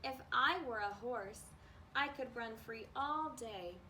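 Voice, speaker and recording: A woman reading a children's storybook aloud in an expressive manner, as a librarian does at story time. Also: a little high, slow pace. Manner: expressive, acts out animal noises. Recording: distant mic with faint background noise.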